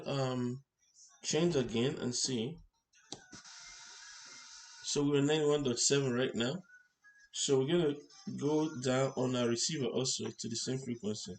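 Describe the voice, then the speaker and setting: A man with a mid-range voice presents a hands-on technical tutorial, unscripted. He explains step by step in short, halting phrases. There is noticeable noise behind his voice. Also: mid-range voice. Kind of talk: hands-on electronics demonstration, unscripted. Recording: clear background noise.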